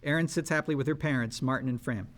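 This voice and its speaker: A man's voice: mid-range voice, fast talker. A man reading script stage directions aloud at a live table read, sounding amused.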